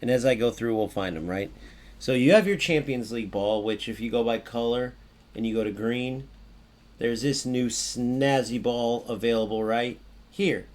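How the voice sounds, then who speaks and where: A young man with a raspy voice that keeps cracking, casually talking through a video game's trading market as a channel host, with lots of fillers and "right?" checks. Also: medium pitch, moderate pace, a little mellow. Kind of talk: casual gameplay commentary.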